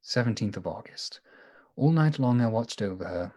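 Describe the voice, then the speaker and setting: Anxious devoted doctor, earnest, reactive, raspy edge, lively intonation, radio play. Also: male voice, on the low side. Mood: weary narration. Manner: halting, earnest, emotionally reactive. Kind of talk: radio play acting with diary narration.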